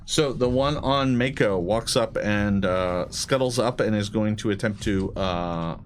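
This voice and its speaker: A man with a fairly low voice acts as game master at a tabletop session. He improvises the narration and rules calls, often pausing as he works things out. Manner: halting, deliberating delivery.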